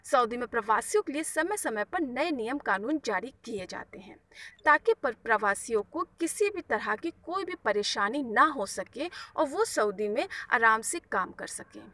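A woman's voice: on the high side, animated, normal speed, pushed and bright, no room sound, distant mic. A woman presenting the news as a scripted narration.

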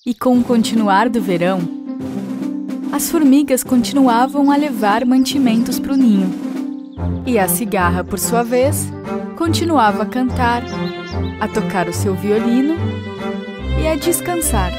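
A Brazilian woman tells a children's story. Her voice is on the high side, expressive and clearly paced. Music runs behind the narration. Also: measured pace, pauses often.

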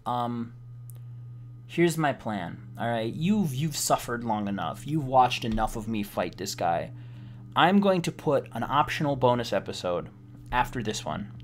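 A slightly hoarse man giving casual, rambling gameplay commentary as a let's play host, sounding wry and exasperated. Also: medium pitch. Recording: game music behind the voice. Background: video game music.

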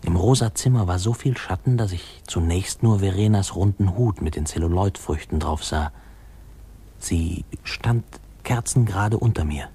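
An actor playing a wistful man narrating his boyhood memories in a measured, literary 1950s radio drama style. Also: deep voice, mellow, slightly rough. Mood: reflective. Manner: reflective, measured storytelling.

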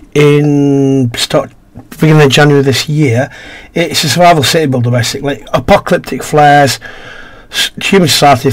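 A man with a croaky, slightly coughing throat, casually commentating a game, reading on-screen text aloud and muttering to himself as he plays. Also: on the high side. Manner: mumbles to himself while playing, reads game text aloud. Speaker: gaming video host. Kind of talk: casual let's-play commentary.

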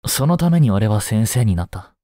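A man playing a kind, easygoing schoolteacher in a voice drama, gently and casually encouraging a student. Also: fairly low pitch, lively intonation, no echo, clean studio sound, close to an everyday voice. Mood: reassuring, encouraging. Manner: gentle, unhurried, casual, reassuring with long pauses. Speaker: voice drama performer. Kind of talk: Japanese voice drama, one-sided intimate talk to the listener.

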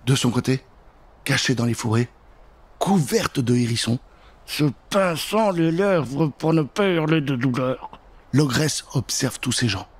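Husky male storyteller, theatrical and suspenseful. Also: mid-range voice, lively intonation, a little slow. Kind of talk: dramatic children's Halloween story narration. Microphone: studio microphone with pop filter.

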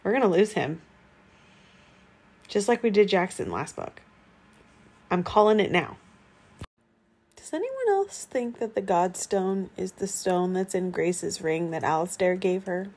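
A woman in her thirties chatting casually and emphatically to her phone at home, reacting in an animated, informal way. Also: medium pitch, measured pace, pauses often. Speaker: book vlogger giving reading reactions. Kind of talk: casual reaction chat to camera. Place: small room at home, indoors. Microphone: phone at arm's length.

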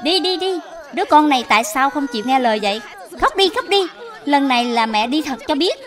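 A woman voicing an exasperated young mother scolding in a sharp, nagging manner in an animated dub. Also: high voice, a little fast. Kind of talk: dubbed animated moral tale.

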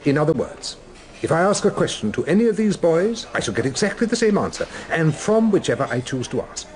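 An actor playing a genial, self-satisfied older British scientist in a radio drama, wordy, donnish and theatrical. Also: male voice, fairly high pitch, big pitch swings, fairly quick, a little mellow. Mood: lecturing, earnest.

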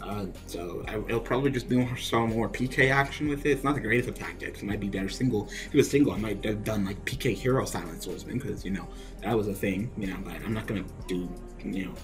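Ranting, profane young man with faint music. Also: on the low side. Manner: ranting, profane, laughing in exasperation. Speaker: YouTube game commentator. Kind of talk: venting gameplay commentary.

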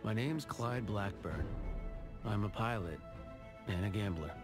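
An actor playing a roguish, dishonest fighter pilot and gambler, narrating in a low, wry, confessional voice over loud music. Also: male voice, animated, measured pace. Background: loud orchestral music.

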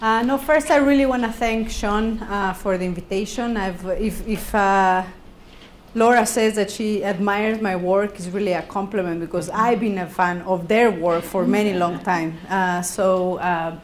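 A woman's voice: mid-range voice, steady tone. Woman lecturing in echoey room. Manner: halting, repeats words, laughs easily. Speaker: guest speaker, professor. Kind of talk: academic lecture. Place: university classroom. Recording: echoey classroom, background hiss.

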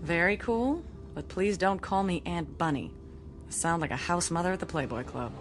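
An actress playing a headstrong, flirtatious Hollywood star in a radio drama, chatty, theatrical and teasing. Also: female voice, lowish voice, normal speed, faint music behind, lightly acted. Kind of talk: modern radio drama acting.

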